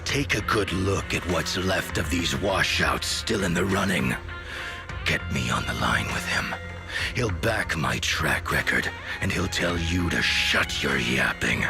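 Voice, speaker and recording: An actor playing a brutal, arrogant killer in a video game, menacing and hot-tempered, with a gravelly voice. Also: male voice, rumbling low, animated, unhurried, heavily acted. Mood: contemptuous, demanding. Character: brutal, arrogant male killer turned cornered henchman. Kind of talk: dramatic video game voice acting. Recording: loud music under the voice. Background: loud music.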